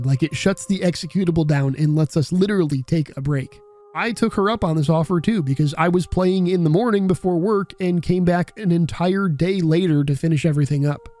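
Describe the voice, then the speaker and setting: Mellow-voiced man narrating a video essay. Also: fairly high pitch, brisk, faint music behind. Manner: casual, reflective and occasionally profane.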